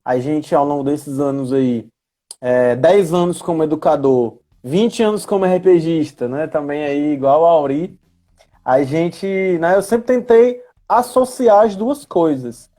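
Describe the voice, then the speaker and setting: A man's voice: fairly high pitch, animated, a little slow, dark tone. A man in his thirties with a Northeastern Brazilian accent, explaining things in a casual live chat, often checking in with little tag questions. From Ceará, Brazil. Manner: chatty, frequent tag questions seeking agreement. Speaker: guest, history teacher and educational coordinator.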